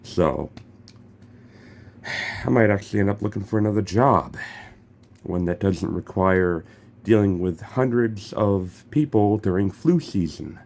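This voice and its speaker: A man's voice: lowish voice, animated, a little slow, long pauses, a little mellow. A young man vlogging casually while sick with a cold, his throat congested; he swears freely and stumbles over his words. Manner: swears freely, stammers and restarts. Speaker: gaming YouTuber. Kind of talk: casual vlog commentary.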